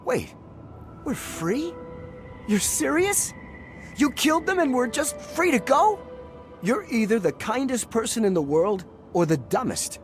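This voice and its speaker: A man's voice: extremely high pitch, sing-song, unhurried, soft background music, heavily acted. An actor playing a grateful freed male captive in a video game, earnest and thankful.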